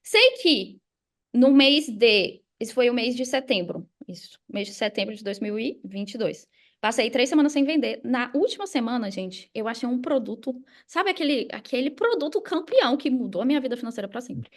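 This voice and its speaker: A Brazilian woman telling a personal story casually as a podcast guest, animated and informal, often checking in with listeners. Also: fairly high pitch, normal speed. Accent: Brazilian Portuguese.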